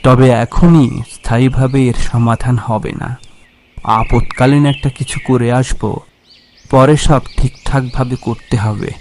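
A man playing a grave, measured cremation-ground priest in a horror cartoon. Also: medium pitch, steady tone, slight background noise, lightly acted. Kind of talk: Bengali horror cartoon voice acting.

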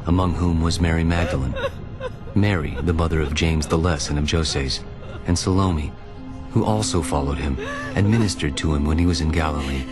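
Very deep-voiced male scripture narrator. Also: moderate pace. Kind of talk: solemn dramatized scripture narration. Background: music.

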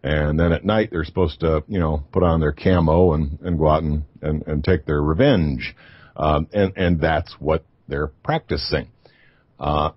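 Very deep husky American man. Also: dry recording. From United States. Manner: measured, explanatory, many fillers. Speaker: radio guest. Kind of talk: casual roundtable chat. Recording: dull online call audio.

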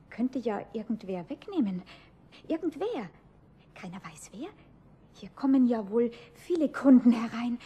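An actress playing a sly female thief who poses as a saleswoman, using smooth sales patter and muttering to herself. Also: a little high, dark tone, heavily acted. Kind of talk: 1970s German radio play acting.

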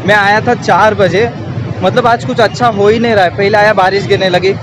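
A man's voice: sits high, fairly even intonation, fairly quick, noisy background. A young Indian man with an Indian accent, vlogging casually to a phone held at arm's length as he walks outdoors. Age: young adult. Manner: chatty, talks straight to a handheld camera while walking. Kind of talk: casual walking vlog. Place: outdoors on city streets and inside a college building.

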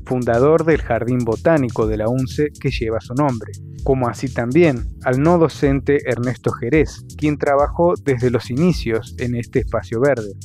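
A man narrating a scripted university news report in a measured, formal read. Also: medium pitch. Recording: voice-over with soft music bed. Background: music bed.

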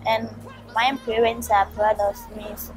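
A young woman from Ghana speaks slowly and carefully, sometimes restarting a word. Her voice is somewhat high, and she is recorded outdoors with gentle music underneath. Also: flat delivery. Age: young adult. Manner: slow and careful, some restarts. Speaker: high school graduate. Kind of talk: casual testimonial. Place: outdoors by a stone wall.

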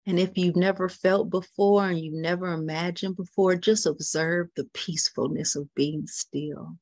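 A woman leading a guided meditation, speaking softly and repeating phrases, often dropping into a whisper. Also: on the low side, steady tone, no room sound. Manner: slow soothing guidance with soft whispered repetitions.

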